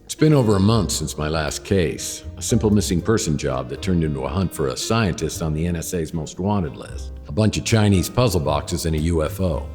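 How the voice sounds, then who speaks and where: Actor as very deep, world-weary noir detective. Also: male voice, fairly quick, few pauses, big-room sound, soft background music, lightly acted. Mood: wry, brooding. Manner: deadpan, sardonic. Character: world-weary, wry male private detective narrating his case. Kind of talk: hardboiled noir radio drama narration.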